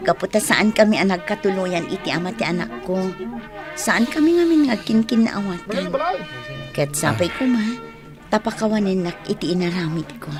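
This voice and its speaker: A woman's voice: medium pitch, lively intonation, moderate pace, natural voice. An actress playing an apologetic young single mother in a radio drama, sincere, with an Ilocano Filipino accent. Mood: regretful, sincere. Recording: music behind dialogue.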